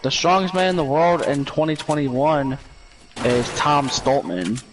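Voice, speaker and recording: Slow-talking young guy, slightly high. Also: a little hiss or hum. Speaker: gaming friend. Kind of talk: casual chat.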